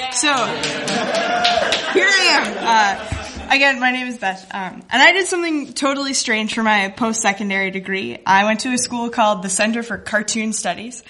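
A woman's voice: mid-range voice, sharp tone. A woman telling a humorous story live to an audience, in a wry, self-deprecating, facetious way. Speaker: guest cartoonist telling a story. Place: live event in a room with an audience.

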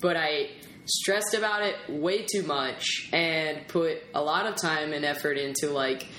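A woman with a notably low voice hosts a relaxed podcast chat. She talks freely and laughs easily, recorded in a roomy, echoing space with faint noise behind her. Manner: chatty, quick to laugh. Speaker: podcast host. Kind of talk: casual interview chat.

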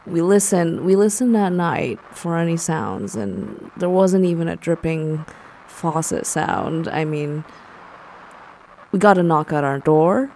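A woman playing a blunt, deadpan lover of spooky things in an audio drama, speaking haltingly. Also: low voice, a little hiss or hum, exaggerated voice. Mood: deadpan, disappointed. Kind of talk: naturalistic audio drama storytelling.

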